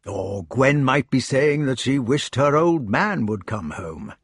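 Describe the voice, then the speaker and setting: A gentle, fatherly old king, as a male reader voices him, talking in a soft, soothing way with a lively rise and fall. His husky voice is slow and recorded dry and close. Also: mid-range voice, no room sound. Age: older.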